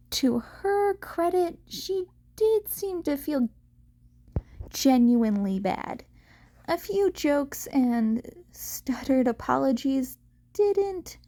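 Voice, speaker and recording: A woman narrates a written story in a soft, mellow voice that sits a little high. She takes her time, reading like an amateur audiobook narrator, and sometimes stumbles and restarts a word. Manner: halting reading with stumbles and self-corrections. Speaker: amateur fan fiction audiobook reader.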